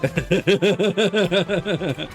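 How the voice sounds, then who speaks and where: A man's voice: high voice, very slow. A man streaming a video game, giving casual commentary in a rambling, explanatory way that halts mid-thought. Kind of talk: casual live gameplay commentary. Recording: game music behind the voice. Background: video game music.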